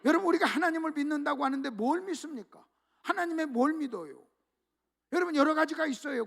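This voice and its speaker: A man preaching a sermon to a church congregation, leading them in call-and-response reading and swinging between hushed asides and loud emphatic exclamations. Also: very high-pitched, creaky. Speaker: pastor. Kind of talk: sermon at a revival meeting. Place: church sanctuary.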